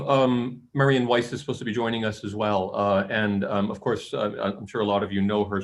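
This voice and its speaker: A man's voice: lowish voice, a little hiss or hum. A man moderating a thesis review over a video call, talking loosely with many fillers and restarts. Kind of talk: casual chairing on a video call. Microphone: computer mic on a video call.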